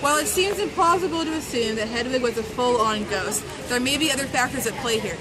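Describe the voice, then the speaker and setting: A woman's voice: a little high, brisk, crowd noise. A woman giving a playful, mock-serious dramatic reading of a humorous essay. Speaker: reader of a fan zine. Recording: noisy outdoor urban recording with traffic.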